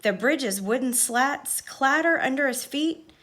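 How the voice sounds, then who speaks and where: A woman's voice: sits high, lively intonation, unhurried, a bit bright, voice pushed up, exaggerated voice. A woman voicing an earnest young boy who talks like a solemn, pompous sports commentator in a storybook. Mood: dramatic.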